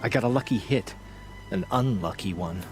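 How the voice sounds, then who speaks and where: An actor playing a humble, remorseful outcast tribesman in a video game, speaking in an earnest, halting, solemn way. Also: on the low side, over music, natural voice, rough recording. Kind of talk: video game character acting.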